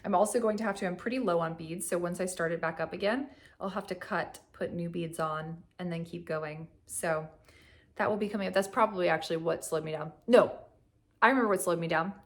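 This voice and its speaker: Chatty woman with a slightly hoarse voice. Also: fairly low pitch, brisk. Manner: chatty, rambling, frequent fillers and laughs. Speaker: knitting vlogger. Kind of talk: casual chat. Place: at home on a couch.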